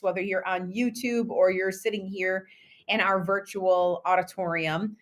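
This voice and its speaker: A middle-aged woman hosts a panel discussion in a relaxed, conversational way. She fills the gaps with ums and uhs and keeps things loose and friendly. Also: mid-range voice. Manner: casual, filler-heavy, friendly asides. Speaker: moderator. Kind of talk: hosting a virtual panel.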